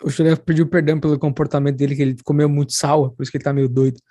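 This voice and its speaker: A man interpreting live on a video call, relaying lines quickly and casually between two languages. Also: on the high side, little pitch movement, mellow, no echo, studio quality.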